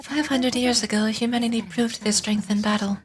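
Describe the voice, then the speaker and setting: Woman acting solemn, regal immortal warrior ruler, higher voice. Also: fairly even intonation, normal speed, a little hiss or hum, close to an everyday voice. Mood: solemn, resolute. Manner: formal, grave, declamatory. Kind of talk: video game dramatic voice acting.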